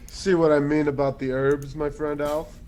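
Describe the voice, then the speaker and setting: A man's voice: a little high, flat delivery, moderate pace, a little mellow, slight background noise, close to an everyday voice. A man voicing a blunt, boastful warrior character who teases his companions. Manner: blunt, teasing.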